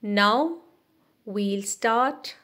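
A woman narrating a cooking video as a voice-over, giving steady step-by-step instructions with small restarts. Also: mid-range voice, very slow. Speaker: cooking channel host.

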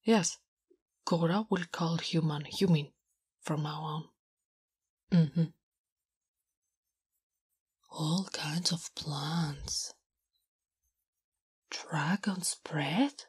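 A woman voicing a big, blunt, smitten and naive female orc in whispered, halting broken English for an ASMR roleplay. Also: sits low, a little mellow. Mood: curious, hesitant. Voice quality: breathy, whispery. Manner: halting broken English, speaks of herself in third person. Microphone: close-up ASMR microphone. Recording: close, intimate microphone.

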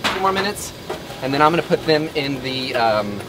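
Lively bearded man cooking on a griddle. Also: medium pitch, moderate pace, background noise. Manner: upbeat, joking, quoting movie lines. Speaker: cooking show host. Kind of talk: casual cooking demo with banter. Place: outdoor griddle kitchen with wood walls. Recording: griddle sizzle behind voice.

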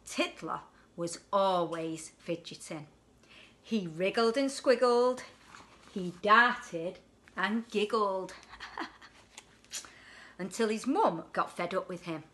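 Slow, bright-voiced woman reading a storybook. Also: mid-range voice. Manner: animated, deliberate, emphasising rhyming words. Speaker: deputy headteacher reading a children's story. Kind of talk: expressive picture-book storytelling for children. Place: indoors at home on a sofa.

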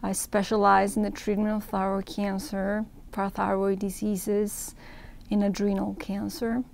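Creaky-voiced woman from Ecuador explaining. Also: medium pitch, monotone, a little slow, a little mellow, slight background noise. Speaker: interviewed surgeon. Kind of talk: explanatory medical interview. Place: indoor event room with banner backdrop.

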